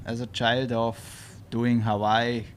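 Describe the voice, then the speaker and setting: A man's voice: medium pitch, flat delivery, takes it slowly, crowd noise. A young man from Austria with an Austrian German accent, a guest answering interview questions hesitantly with many fillers. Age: young adult. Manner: hesitant, many "uh"s, short agreeing replies. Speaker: guest athlete. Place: hotel.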